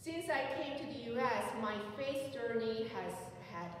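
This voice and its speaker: Woman pastor, 40s, Korean accent; slow, earnest sermon, higher voice, heard from far off in a reverberant sanctuary. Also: lively intonation, a little hiss or hum. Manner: slow, earnest, personal testimony. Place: church sanctuary, at the pulpit. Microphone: pulpit mic, recorded by a far camera.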